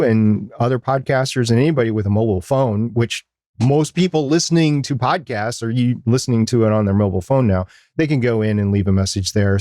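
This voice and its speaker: Man, middle-aged, podcast co-host. Medium pitch, plain-spoken casual discussion, dry recording. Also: darkish tone, no echo.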